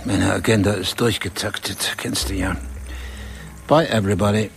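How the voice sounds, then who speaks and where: Gravelly, brisk banker. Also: male voice, lowish voice, fairly even intonation. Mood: hurried. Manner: brisk, commanding. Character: pushy hurried male banker, commanding. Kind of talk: radio play acting. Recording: studio radio drama with music.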